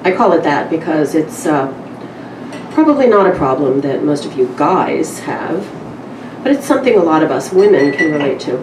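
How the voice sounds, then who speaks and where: A woman's voice: sits low, big pitch swings, moderate pace. A woman speaking informally at a live literary event, with a candid, wry, conversational way of addressing the room. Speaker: publisher introducing and reading a story at a literary event. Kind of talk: informal live talk and story reading. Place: indoor live event room with small audience. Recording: roomy, distant mic with clear background noise.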